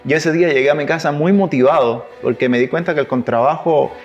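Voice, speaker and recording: A man from Cuba with a Cuban accent touched by Chilean, telling stories in a relaxed interview, with restarts and laughs. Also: a little high, fast, highs cut off, soft background music. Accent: Cuban Spanish with Chilean traces. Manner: warm anecdotal telling, restarts, laughs at his own stories. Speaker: interviewee, double bass player. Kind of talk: relaxed conversational storytelling.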